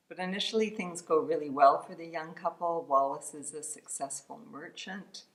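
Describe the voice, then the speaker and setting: A woman author giving an informal spoken introduction to her book, with hesitant, halting phrasing. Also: low-pitched, poor audio. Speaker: author presenting her novel.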